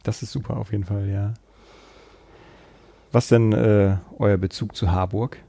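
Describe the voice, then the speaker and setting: A man's voice: fairly low pitch, fairly even intonation, measured pace, vocal fry, dark tone, dry recording. A man from Kiel in northern Germany chatting casually as the host of a conversation. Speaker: podcast host. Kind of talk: casual chat.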